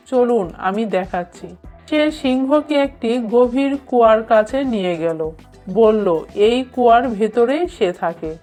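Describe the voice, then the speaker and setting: A woman narrating a children's cartoon story in a measured, expressive storytelling manner. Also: a little high. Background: background music.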